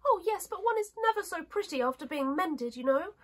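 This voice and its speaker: Storybook china princess: young, delicate, timid and proper, pitched way up high. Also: female voice, animated, fairly quick. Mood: confiding, prim. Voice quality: tiny, frightened little voice. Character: frightened, dainty little china princess, prim and young. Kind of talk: storybook character voice.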